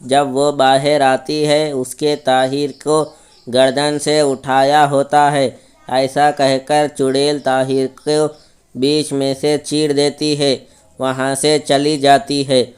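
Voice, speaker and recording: A man narrating a scripted story in a smooth, clean voice. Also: mid-range voice, little pitch movement, takes it slowly.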